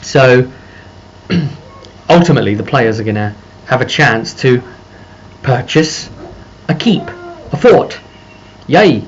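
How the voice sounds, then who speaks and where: A man giving a casual, rambling recap as a game master, halting and circling back over his phrases. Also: medium pitch, animated. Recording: muffled lo-fi audio with background noise.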